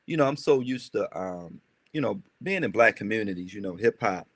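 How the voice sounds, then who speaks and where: A young man with a low, gravelly voice recounts his story in a loose, rambling way, full of fillers. The sound is dry and close, with no room around it. He is a rapper from Maryland chatting as a guest. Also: animated, fairly quick, no echo. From Maryland, USA. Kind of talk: casual interview chat.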